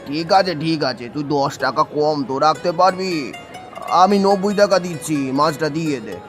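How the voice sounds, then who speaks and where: Man playing a higher-pitched haggling village customer. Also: moderate pace, soft background music, close to an everyday voice. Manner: familiar, bargaining, talks down casually. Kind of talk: cartoon voice acting in Bengali village story.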